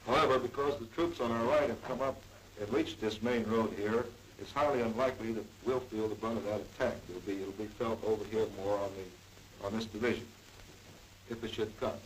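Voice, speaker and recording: A man's voice: fairly low pitch, steady tone, brisk. An American man giving a formal, matter-of-fact military briefing as an intelligence officer. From United States. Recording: 1940s newsreel, distant mic, faint hiss.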